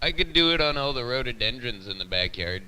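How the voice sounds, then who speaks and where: A man's voice: mid-range voice, lively intonation, quick pace. A man chatting casually over CB radio in a plain-spoken, slightly grumbling way, with a distant, hissy sound. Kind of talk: casual chat. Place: on a CB radio live feed.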